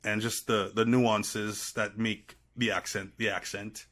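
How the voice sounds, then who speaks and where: A man with a Trinidadian accent tinged with American, telling stories as a podcast guest in an animated, rambling way. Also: fairly low pitch, unhurried. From Trinidad and Tobago. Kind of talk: casual chat.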